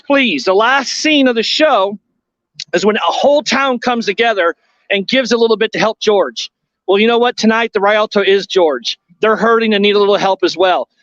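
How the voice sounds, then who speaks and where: High-voiced man hosting a fundraiser. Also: a bit bright, dry recording, muffled recording. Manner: earnest, pleading, repeats words for emphasis. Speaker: host of a theater fundraiser. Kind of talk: live donation appeal.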